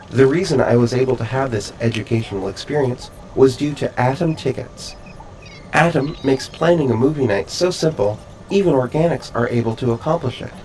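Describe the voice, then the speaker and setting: A man performs an emotionless robot announcer with a medium-pitched voice. It delivers a scripted podcast sponsor read in precise, stilted deadpan, politely looking down on humans, over faint background noise. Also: natural voice, low-quality recording.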